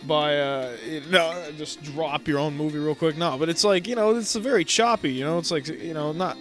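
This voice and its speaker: A man hosting a gameplay video, rambling and joking with plenty of fillers and casual swearing. His voice sits a bit high for a man, with faint music underneath. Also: moderate pace, a bit bright. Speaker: let's play host.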